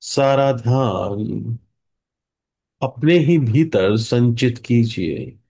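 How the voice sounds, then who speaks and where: An Indian man guides a meditation in a mellow, relaxed voice. He speaks extremely slowly at a medium pitch, in short, calming phrases with long pauses between them. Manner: slow, hushed calming delivery with long pauses.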